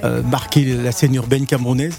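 A male host interviewing a singer in a chatty way, prompting him with quick questions. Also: medium pitch, little pitch movement, gravelly, a little mellow, faint music behind. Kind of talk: casual interview.

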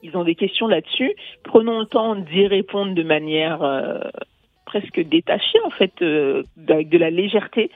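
A woman's voice: on the low side, moderate pace, no room sound. A woman from Mali who grew up in France, a guest coach explaining things earnestly in a radio interview, with many fillers and restarts. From Mali, raised in France. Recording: phone-like line, dry, faint music.

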